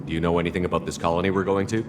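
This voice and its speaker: An actor voicing a steady male commander in a video game, asking direct questions. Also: sits low, rapid-fire, close to an everyday voice. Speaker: player character. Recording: game audio with long reverb and clear hiss.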